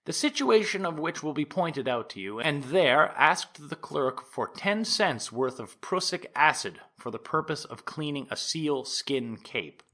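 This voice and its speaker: A man playing an earnest 1890s prosecutor who delivers a formal courtroom opening to a jury in deliberate, emphatic phrases, as in an audio drama. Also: on the high side, big pitch swings, bright, forceful tone, heavily acted. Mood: grave, explanatory.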